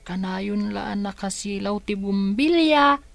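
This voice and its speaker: A woman's voice: mid-range voice, animated, slow pace, gravelly, dry recording. A woman playing a curious young child who wheedles and pleads in an Ilocano radio drama. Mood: wheedling, eager.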